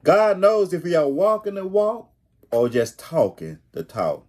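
A middle-aged man preaching a sermon as a pastor, deliberately repeating key phrases for emphasis. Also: high-pitched, big pitch swings, darkish tone. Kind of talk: sermon, read from notes. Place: small home room, indoors.

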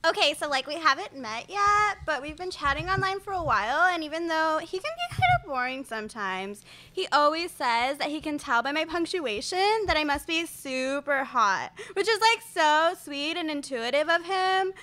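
Woman as a shrill, whiny, bright little sister. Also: expressive, normal speed. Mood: giddy, gushing. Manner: whiny, valley-girl filler talk, exclaiming. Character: whiny, nosy, boy-crazy teenage little sister. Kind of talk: live radio comedy play. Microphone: stand microphone.

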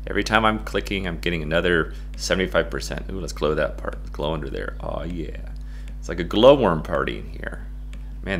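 A middle-aged American man giving a casual, playful software tutorial in a goofy, jokey way. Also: low voice, a little hiss or hum. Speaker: photo-editing tutorial presenter.

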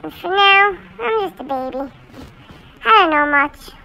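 Someone doing a bright, high little puppy. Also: animated, a little slow, gravelly, full character voice. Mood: earnest. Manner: rambling, earnest, childlike chatter. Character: chatty six-month-old male Sheltie puppy. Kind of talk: talking-pet video monologue.

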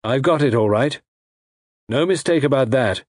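A man's voice: mid-range voice, animated, normal speed, dry recording, studio quality, voice pushed up, natural voice. A man voicing an unseen villain in an audiobook, coldly menacing yet formally polite, precise and mock-regretful. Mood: suave menace.

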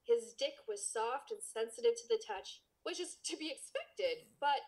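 A woman's voice: a little high, animated, moderate pace, darkish tone, slight background noise. A woman podcast co-host reading a book aloud and chatting casually, with playful, mock-dramatic asides.

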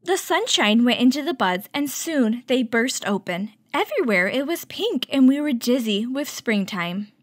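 A woman hosting a children's story time, reading a picture book aloud and teaching with clear, instructive delivery. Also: fairly high pitch, sing-song, normal speed, hardly any pauses, no echo, studio quality. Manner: clear, instructive, addressing young children with questions.

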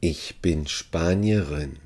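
A man working as a language teacher, reading short phrases deliberately and repeating each one for learners. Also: low-pitched, a little mellow.

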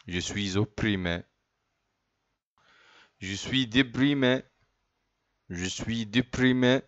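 Low-voiced Egyptian man drilling phrases. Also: a bit bright, muffled recording. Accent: Egyptian Arabic. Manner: repeats each phrase slowly, then explains it quickly. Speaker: French teacher. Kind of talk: slow repetitive language drill.

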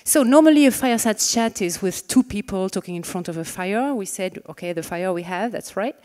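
A woman in her thirties with a French accent hosts a stage chat, speaking into a handheld microphone in a reverberant conference hall. She talks in a chatty, joking way, full of fillers and restarts, and her voice sits at a middling pitch. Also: lively intonation, a little fast, a little mellow. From France. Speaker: moderator. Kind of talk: stage fireside chat moderation.

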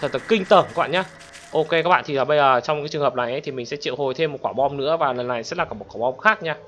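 A man giving excitable gameplay commentary as a channel host, often exclaiming and addressing his viewers. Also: mid-range voice, very fast.

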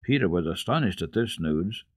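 A man narrating a classic children's book as a radio storyteller, in an expressive, dramatic and deliberate manner. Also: low-pitched, slightly rough, no echo, studio quality. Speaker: storyteller, radio program host.

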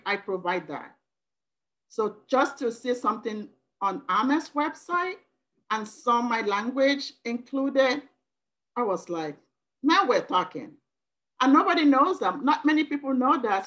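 A woman from Nigeria whose first language is Igbo, speaking with animated emphasis during a video call meeting. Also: on the high side, rough recording. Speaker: working group member. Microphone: laptop video call.

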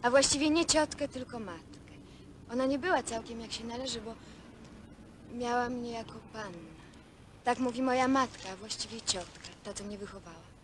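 An actress playing a dreamy young woman who confides wishful stories in halting phrases, in naturalistic Polish film dialogue. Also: on the high side, slight background noise, lightly acted. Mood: tender, hesitant. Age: young adult. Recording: old film soundtrack with music.

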